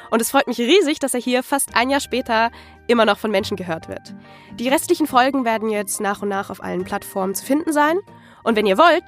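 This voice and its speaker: A woman hosting an interview podcast, speaking in a polite, articulate and friendly presenting style. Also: a little high.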